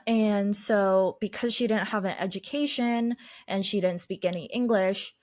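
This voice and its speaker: A woman guest telling her life story in an interview, reflective and unhurried, whose first language is Chinese. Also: mid-range voice, a little mellow, dry recording, studio quality. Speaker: podcast guest, life coach.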